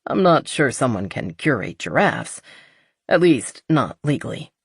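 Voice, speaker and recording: Woman doing a gruff, terse man, low-voiced. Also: unhurried, no echo, studio quality, exaggerated voice. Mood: dry, protective. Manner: terse, muttering, deadpan. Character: gruff, guarded, protective man in a romance.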